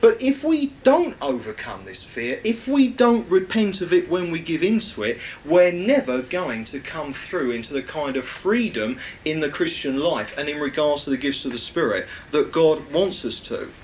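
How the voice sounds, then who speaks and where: A man with a loud, projecting voice, preaching a rambling, anecdotal sermon with many fillers. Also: fairly high pitch, sing-song, normal speed, few pauses, a little hiss or hum, rough recording.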